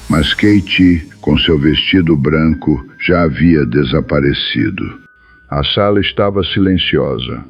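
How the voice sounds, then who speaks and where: A man's voice: a bass voice, husky, lo-fi sound. A man reading a scripted first-person account as a dramatic storyteller.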